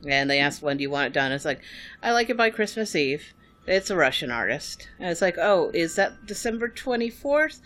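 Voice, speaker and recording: Speaker, casual podcast chat, relaxed, storytelling, quick asides. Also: mid-range voice.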